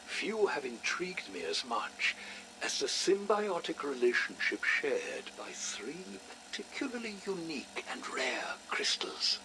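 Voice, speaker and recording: Slow, expressive research scientist. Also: male voice, on the high side, noisy background. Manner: deliberate, erudite, lecturing. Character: studious, fascinated male scientist dictating research notes. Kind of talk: scripted video game audio log.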